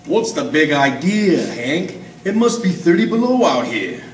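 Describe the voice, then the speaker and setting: A man's voice: fairly high pitch, big pitch swings, measured pace. A man playing a wary, blunt, hard-boiled sidekick in the manner of 1940s radio noir. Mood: annoyed. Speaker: amateur stage radio-drama actor. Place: live performance in an art gallery. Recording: distant, echoey room with faint noise.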